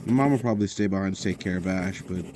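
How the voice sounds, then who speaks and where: Low, guarded, wry teenager. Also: female voice, fast talker, noisy background. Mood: worried. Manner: casual, understated, teasing. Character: guarded, wry, caring teenager close to sick twin. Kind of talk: audio drama dialogue.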